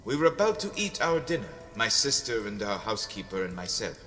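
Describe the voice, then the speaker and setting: A man voicing a kindly, devout old priest who speaks in a gentle, measured, welcoming way. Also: on the low side, big pitch swings, big-room sound, faint music behind. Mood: hospitable.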